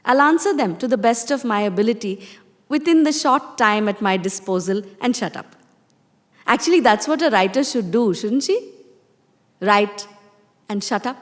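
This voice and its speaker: An actress with an Indian accent playing a poised, self-satisfied woman novelist giving a theatrical, confiding address in a reverberant space. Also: on the high side. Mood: coy, self-assured. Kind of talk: stage monologue reading. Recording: reverberant hall.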